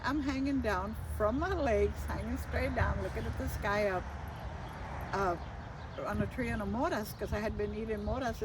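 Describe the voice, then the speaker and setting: An older woman tells stories casually and with animation, slipping into bits of remembered dialogue. Her voice sits at a middling pitch. She is picked up from some distance, with clear outdoor noise around her. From Texas, United States. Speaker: storyteller. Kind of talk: casual personal storytelling. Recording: distant mic, clearly noisy outdoor ambience.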